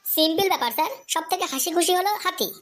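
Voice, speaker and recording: Squeaky, fast, bright cartoon schoolboy. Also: lively intonation. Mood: cheeky. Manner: cheeky, playful backtalk. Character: cheeky, silly schoolboy with literal-minded comebacks. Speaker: pupil answering a teacher. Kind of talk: comedy cartoon dialogue.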